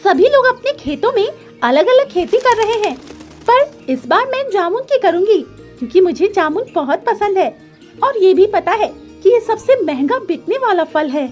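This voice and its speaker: A woman's voice: extremely high pitch, sing-song, moderate pace, a little mellow, soft background music, pitched above the performer's own voice. A woman voicing a little female bird farmer in a children's cartoon, cheerful, earnest and self-assured. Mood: excited.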